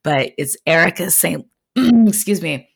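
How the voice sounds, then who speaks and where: A woman from Canada in her thirties, a podcast guest chatting in an animated way with many fillers. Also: on the low side, measured pace, vocal fry, husky, no room sound, studio quality. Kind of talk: casual interview chat.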